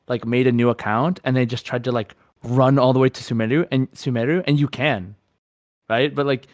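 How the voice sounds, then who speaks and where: A man speaks as a podcast co-host in casual gamer conversation, recorded dry with little room sound. His pitch is average for a man, and he circles back over phrases, halting as he looks for the next word. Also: a little mellow, no echo. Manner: halting, repetitive, searching for words. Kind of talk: casual chat about a video game.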